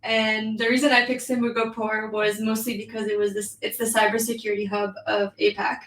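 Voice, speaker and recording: A woman's voice: on the high side, level tone, a little fast, a bit bright, roomy sound, recorded from a distance, low-quality recording. A woman in her thirties from New York City with a French accent, chatting as a guest with lots of "um" and "like.". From New York City, United States. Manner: chatty, with frequent "um" and "like" fillers. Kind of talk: casual interview.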